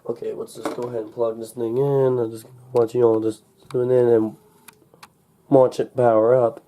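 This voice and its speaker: A man from the United States, recovering from a cold and slightly congested, demonstrating a gadget in a halting, rambling way, muttering to himself. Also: medium pitch, flat delivery, dark tone. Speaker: gadget unboxing video maker. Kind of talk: casual demonstration while handling a device. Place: indoors at a wooden desk in a room. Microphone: camcorder held or set near the desk.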